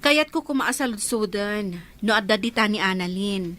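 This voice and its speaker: A woman's voice: medium pitch, expressive, normal speed, a bit bright, close to an everyday voice. A woman with a Filipino accent playing a caring, anxious mother in a radio drama. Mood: polite, concerned.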